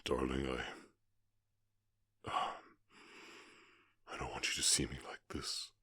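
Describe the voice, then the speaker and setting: Casting: orc cowboy voiced by a man for ASMR roleplay, extremely low, fierce yet protective, terse, very dry sound. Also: monotone, takes it slowly, bright, forceful tone, husky, no echo, exaggerated voice. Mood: tender, flustered.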